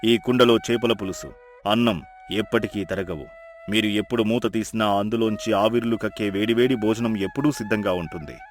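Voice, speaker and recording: A man's voice: lowish voice, brisk, lightly acted. A man playing a grave, benevolent river god, giving solemn pronouncements in a moral folktale. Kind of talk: animated moral folktale acting. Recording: music behind.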